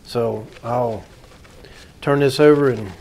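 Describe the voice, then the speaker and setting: A man's voice: medium pitch, takes it slowly, vocal fry, darkish tone. A man giving casual, plain-spoken commentary as a hobbyist restorer, thinking aloud while he works on a hands-on repair.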